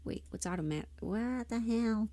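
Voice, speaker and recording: A woman's voice: fairly high pitch, unhurried, warm tone, no echo. A woman chatting casually on a livestream, informal and rambling, muttering asides to herself as she thinks aloud. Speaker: livestream host and amateur voice performer.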